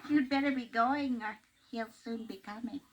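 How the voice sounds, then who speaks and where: A woman's voice: a little high, normal speed, muffled recording, lightly acted. A woman voicing a tall, thin, menacing female giant who cackles and threatens, on an old storybook record.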